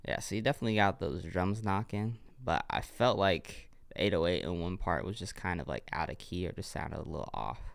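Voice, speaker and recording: A man's voice: deep voice, fairly quick, creaky, few pauses, no echo. A young man with a congested voice, giving laid-back, encouraging feedback on music submissions during a livestream. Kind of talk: casual livestream commentary.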